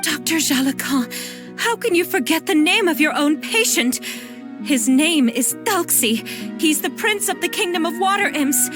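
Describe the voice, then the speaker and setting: A woman's voice: sits high, lively intonation, normal speed, a bit bright, soft background music. A woman playing a fragile, devoted mother lost in a fairy tale, in a video game drama, speaking in a hesitant, pleading way. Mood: anxious, insistent.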